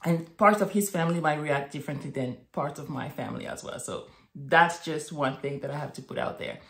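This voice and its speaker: A woman's voice: low-pitched, a little fast. A woman in her thirties from Morocco, talking thoughtfully to camera in a home office, often checking in with "right?" and "okay?". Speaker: vlogger. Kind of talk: reflective casual monologue to camera. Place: home office with bookshelves, indoors. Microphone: webcam at desk distance. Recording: echoey room.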